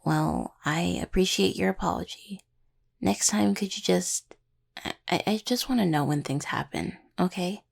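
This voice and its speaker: A young woman playing a caring, teasing necromancer healer in an intimate audio roleplay, with a husky voice and conversational delivery. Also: lowish voice, normal speed, exaggerated voice. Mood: tender, hesitant. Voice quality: husky, gravelly. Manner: hesitant, teasing, full of pet names.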